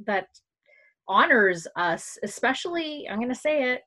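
A woman's voice: fairly high pitch, sing-song, unhurried, sharp tone. A woman from Canada, a mother and podcast guest, telling stories in a playful way and laughing as she goes. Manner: playful, laughing, loose storytelling. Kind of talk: casual chat.

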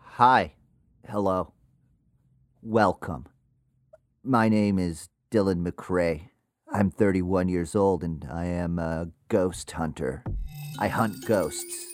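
Very deep-voiced awkward ghost hunter. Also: male voice. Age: 30s. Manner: halting, rambling, self-conscious. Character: awkward, lonely, earnest young male ghost hunter. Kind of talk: confessional podcast-style audio drama narration.

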